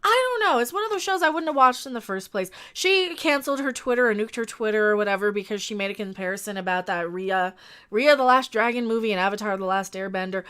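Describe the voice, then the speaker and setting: A woman's voice: medium pitch, quick pace, pushed and bright, clean tone. A sniffly woman hosting a casual live stream, rambling bluntly with restarts and asides to her chat.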